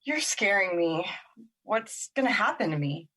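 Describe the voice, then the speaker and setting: A woman's voice: medium pitch, big pitch swings, unhurried, a bit bright, rough recording. A woman playing a confused, weary young woman in an emotional audio drama, questioning and emotionally exposed. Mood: frightened. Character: Olivia, confused, weary, vulnerable young woman finding courage.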